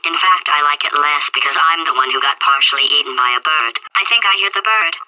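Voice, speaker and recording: A woman's voice: sits low, fairly quick, pushed and bright. A woman voicing a weakened, sardonic female AI trapped in a potato, who sounds exasperated and keeps bargaining, with a tinny small-speaker effect on her voice. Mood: dry exasperated. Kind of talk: video game voice clips.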